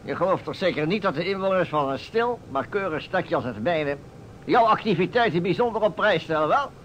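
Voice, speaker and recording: An actor playing a pompous, blustering older small-town mayor, easily indignant, in a Dutch radio drama. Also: male voice, high-pitched, lively intonation, fairly quick, fairly bright tone. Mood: condescending. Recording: muffled vintage radio recording with noise.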